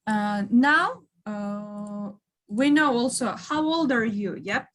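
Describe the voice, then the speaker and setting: A woman's voice: a little high, expressive, slow pace, fairly bright tone, rough recording. A woman teaching English, encouraging her students and switching between languages as she explains and prompts.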